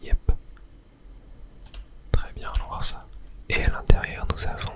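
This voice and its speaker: A person doing whispered ASMR let's play commentary, narrating their own play in a hushed, unhurried way close to the microphone. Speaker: gaming ASMR creator and host.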